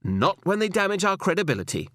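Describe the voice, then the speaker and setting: A man's voice: sits high, big pitch swings, fast talker, a bit bright, no echo, studio quality, pitched above the performer's own voice. A man voicing a cold, stern, scornful senior policewoman in an audiobook, with a clipped, authoritative, lecturing manner. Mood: cold, firm. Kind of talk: audiobook character dialogue.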